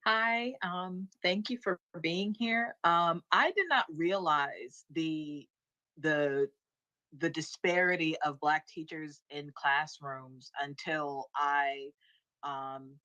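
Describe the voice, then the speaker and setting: A woman's voice: low-pitched, steady tone, slow pace, bright, forceful tone, no echo, low-quality recording. An American woman from the Baltimore and Washington area, asking questions conversationally as a panelist. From Baltimore and Washington DC, United States.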